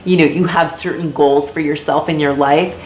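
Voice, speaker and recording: A woman's voice: deep voice, fairly even intonation, normal speed, darkish tone, a little hiss or hum. A woman in her thirties, a former theme park performer, chatting casually to camera with a slightly congested, nasal voice. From Florida, US. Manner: chatty, many fillers and asides. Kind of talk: casual talking-head vlog. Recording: echoey room.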